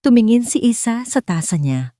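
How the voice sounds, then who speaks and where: A woman's voice: on the high side, big pitch swings, normal speed, warm tone, dry recording. A Filipino woman reading a scripted story as an audiobook narrator.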